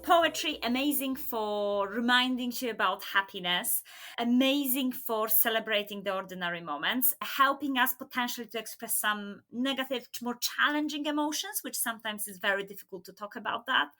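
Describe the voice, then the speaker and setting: A podcast host whose first language is Polish, speaking English with animated enthusiasm. Her voice is high and very bright, with a sharp, forward tone. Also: moderate pace. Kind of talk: interview hosting.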